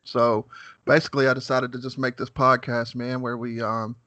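A man in his thirties raised in West Virginia, hosting a podcast with a nervous, halting manner and lots of "man" and "you know what I'm saying". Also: mid-range voice, little pitch movement, sharp tone, dry recording. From West Virginia, USA. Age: 30s. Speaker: podcast host. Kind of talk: casual interview.

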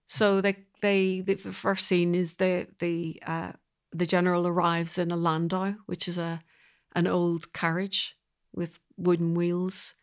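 A woman's voice: fairly low pitch, level tone, a little slow, smooth. A woman talking as an interview guest about her creative work, thoughtful and emphatic, feeling her way through sentences. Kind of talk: reflective interview conversation.

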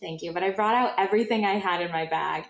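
A woman's voice: fairly low pitch, fast, creaky. Woman podcast host chatting away, super gushy and emphatic, kinda roomy echoey audio. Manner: gushing, emphatic, conversational. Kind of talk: casual podcast storytelling.

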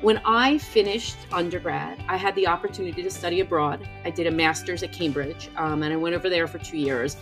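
A woman's voice: lowish voice, fairly even intonation, fairly quick, few pauses, music in the background, poor audio. An American woman, a professor and author, speaking as a podcast guest in a chatty, self-deprecating storytelling style. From United States. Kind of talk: conversational personal storytelling in an interview.

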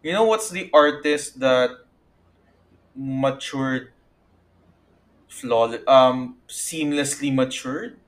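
Slow, halting young man. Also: mid-range voice. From Philippines. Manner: halting, measured phrasing. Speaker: podcast co-host. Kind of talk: casual analytical chat.

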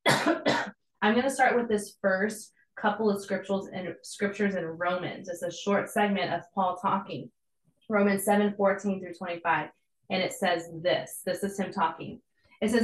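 Young woman, slightly hoarse, encouraging conversational preacher, roomy echo. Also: mid-range voice, a little slow. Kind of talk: devotional sermon.

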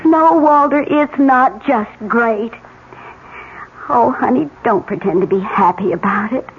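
High-voiced, fretful American housewife. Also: female voice, a little slow, husky, full character voice. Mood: bitter, resigned. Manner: plaintive, self-pitying, hesitant. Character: weary, fretful housewife and mother of forty-one. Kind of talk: 1970s radio drama acting. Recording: muffled, noisy 1970s radio recording.

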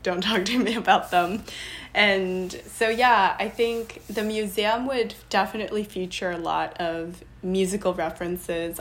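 A woman's voice: fairly low pitch, fairly bright tone. A young adult woman from Los Angeles, a podcast guest chatting casually and laughing often. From Los Angeles, USA. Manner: bubbly, laughs often, quick restarts. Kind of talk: casual interview chat.

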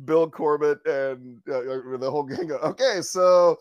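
A man's voice: mid-range voice, steady tone, normal speed, a little mellow, no room sound, muffled recording. A man co-hosting a podcast, chatting casually about films and TV in an informal, amused way. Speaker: podcast host.

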